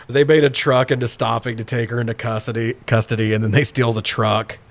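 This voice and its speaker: A man with a mid-pitched voice hosts a casual, comedic film recap. He talks like a podcaster among friends, sarcastic and freely swearing as he goes through scenes. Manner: sarcastic, heavily profane. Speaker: podcast co-host recapping films. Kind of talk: casual comedic movie-review chat.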